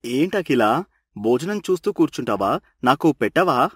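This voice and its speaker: A grumbling husband in a cartoon family tale, voiced by a man. He is blunt, teasing and quick to complain. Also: mid-range voice, sing-song, fairly bright tone, slightly rough. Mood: hungry.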